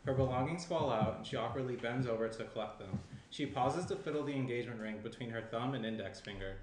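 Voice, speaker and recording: A man's voice: mid-range voice, fast, a little mellow. A young man reading stage directions as the narrator of a screenplay table read. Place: indoor room, seated reading from tablet. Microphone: shared desk microphone, some distance. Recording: roomy echo, faint background noise.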